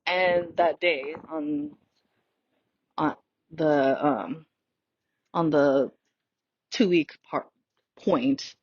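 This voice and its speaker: A woman's voice: deep voice, extremely slow, pauses often, slightly rough, no echo, muffled recording. A woman whose native tongue is Tagalog, hosting a podcast in a candid, rambling monologue, laughing often and swearing freely. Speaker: podcast host. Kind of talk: casual monologue while walking. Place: outdoors on a windy walk.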